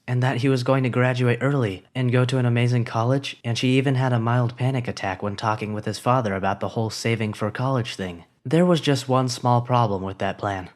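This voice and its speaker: A man narrating a fan fiction story in an even, steady storytelling manner. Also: medium pitch, fairly quick, few pauses.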